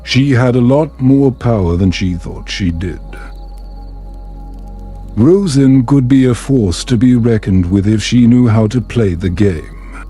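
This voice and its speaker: Male storyteller for sleep tales: mellow, unhurried, gentle and measured, music underneath. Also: medium pitch. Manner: soft, soothing, measured. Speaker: storyteller, narrator. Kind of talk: calm scripted bedtime story narration.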